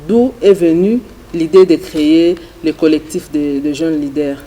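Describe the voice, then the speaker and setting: Formal male TV interviewer, mellow tone. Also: on the high side, slight background noise, low-quality recording. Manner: formal, measured questioning. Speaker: interviewer, host. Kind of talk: studio interview questions.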